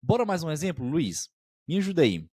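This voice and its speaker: A man's voice: on the high side, sing-song, fairly quick, a little mellow, slightly rough, no room sound, studio quality. A man co-hosting a podcast as a language teacher, explaining expressions patiently and often checking that listeners follow.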